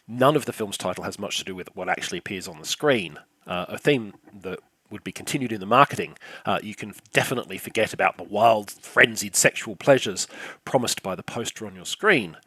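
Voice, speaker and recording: An older man with a slightly croaky, lowish voice delivers a scripted film review. His wit is dry and sarcastic, his sentences are peppered with "uh", and the recording is close and completely dry. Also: expressive, fast talker, fairly bright tone, dry recording.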